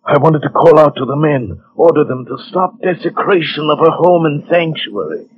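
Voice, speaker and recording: An actor playing a troubled gentleman narrator in a dramatic 1940s radio drama style. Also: fairly high pitch, normal speed, slight background noise, natural voice. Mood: entranced. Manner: dramatic, hushed narration breaking into anguished pleading. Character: troubled gentleman narrator, haunted and torn by longing. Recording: dull 1940s radio recording.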